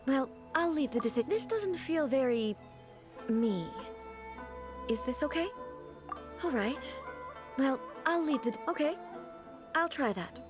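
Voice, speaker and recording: An actress playing a dignified, reclusive female ruler in a video game, speaking in formal, measured and earnest phrases. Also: sits high, expressive, music in the background. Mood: puzzled, self-conscious. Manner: formal, measured, deliberate wording. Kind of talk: English video game voice acting.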